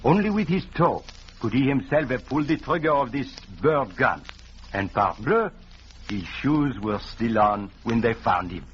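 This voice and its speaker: An actor playing a vain, shrewd detective with a French accent and courtly, grand manner in a 1940s radio drama. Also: male voice, mid-range voice, lively intonation, measured pace, natural voice. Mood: smug, triumphant. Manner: pompous, courtly, speaks of himself grandly. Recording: dull, noisy 1940s radio recording.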